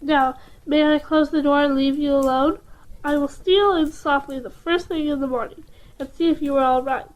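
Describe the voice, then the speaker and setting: A woman playing a gentle, soothing mother in an audio drama, with formal old-fashioned phrasing. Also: sits high, fairly even intonation, normal speed, lightly acted, rough recording. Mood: tender concern. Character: gentle, tender mother of a sick child.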